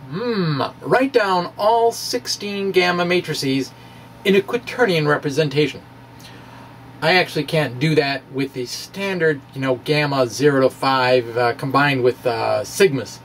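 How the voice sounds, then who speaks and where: A man giving an informal explanatory physics monologue in a halting, self-deprecating, conversational way. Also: fairly high pitch, distant mic, slight background noise, low-quality recording. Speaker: amateur physics video blogger.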